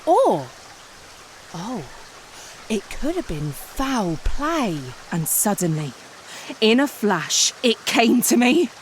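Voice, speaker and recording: An actress playing an excitable, sardonic would-be writer turned detective in a comedy audio drama, theatrical with dry asides. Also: female voice, fairly high pitch, slow pace, pauses often, a little hiss or hum. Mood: earnest, dramatic. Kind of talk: comedy audio drama acting with confiding narration.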